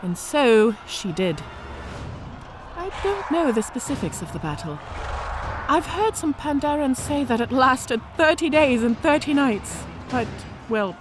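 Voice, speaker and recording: An actress playing a battle-scarred, worldly female orc officer telling war stories in an audio drama with measured, theatrical delivery. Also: fairly high pitch. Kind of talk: audio drama character acting.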